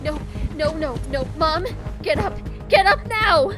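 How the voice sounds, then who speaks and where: A woman playing an earnest, guilt-ridden, frightened young hero in a dark audio drama, hesitant and polite. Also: high-pitched, lively intonation, measured pace, over music. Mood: panicked.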